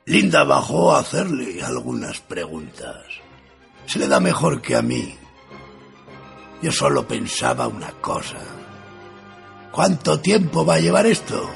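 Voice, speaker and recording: A man playing a weary, wry ageing writer reading his diary in a radio play, gravelly. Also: mid-range voice, sing-song, unhurried, pauses often, soft background music, heavily acted. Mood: weary, deadpan. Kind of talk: radio theatre narration.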